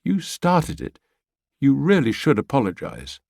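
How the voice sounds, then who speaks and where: A man voicing a brisk, caring young woman who gives first aid and talks quick and firm. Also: mid-range voice, huge pitch swings, vocal fry, a little mellow, no echo, clean studio sound, pitched above the performer's own voice, heavily acted. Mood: gentle.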